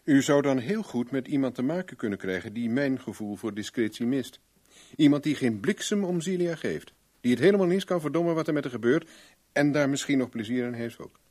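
A self-assured male private investigator from a radio play, acted by a man. His voice sits at an ordinary middle pitch. He questions shrewdly and speaks plainly, with a blunt, wry edge. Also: lively intonation, brisk, a little mellow, natural voice. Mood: firm, matter-of-fact. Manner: probing, wry, plain-spoken. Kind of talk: Dutch radio play acting.

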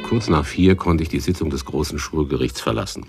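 An actor playing a world-weary, wry private detective, narrating and acting in a hard-boiled radio drama with laconic, dry delivery. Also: male voice, a bass voice, a little fast, gravelly, over loud music. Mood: dry, sceptical. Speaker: narrator and lead character.

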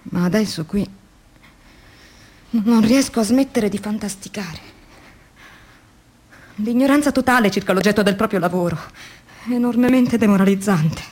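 A woman's voice: mid-range voice, normal speed, pauses often, darkish tone, close to an everyday voice. An actress playing a weary, demoralized young woman factory worker in an introspective, theatrical radio drama monologue. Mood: subdued, demoralized. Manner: introspective, theatrical, shifting between murmurs and emphatic phrases.

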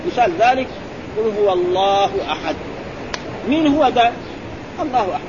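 A man, a sheikh teaching grammar who keeps prompting his students with quick questions. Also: high-pitched, expressive. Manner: quizzing, prompting with repeated "eh?" and "ha?". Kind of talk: classroom explanation with questions to students. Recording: muffled recording with crowd and traffic noise.

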